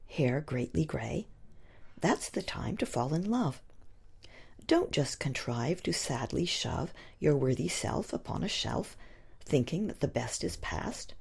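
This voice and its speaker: A woman poet reciting her own verse expressively, with a husky, breathy, gravelly voice and theatrical, deliberate emphasis on the rhymes. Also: fairly low pitch, a little mellow. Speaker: poet reading own work.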